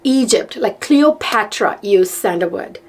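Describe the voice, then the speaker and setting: A middle-aged woman from Sri Lanka, talking excitedly to camera in a rambling, repetitive way. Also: mid-range voice, measured pace, slight background noise. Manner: excitable, rambling, repeats words. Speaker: vlogger. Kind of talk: casual chat to camera.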